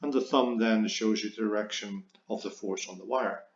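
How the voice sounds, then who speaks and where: A man's voice: fairly low pitch, fairly even intonation, moderate pace. A man teaching a physics lesson, explaining step by step and stressing key words. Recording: roomy echo, dull lo-fi sound.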